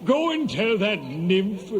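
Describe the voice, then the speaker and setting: Genial, absent-minded elderly chief god for radio drama; high-pitched, very slow, halting delivery. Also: male voice, very animated, exaggerated voice. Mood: genial, dithering. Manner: dithering, hesitant, halting. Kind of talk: 1970s radio play acting.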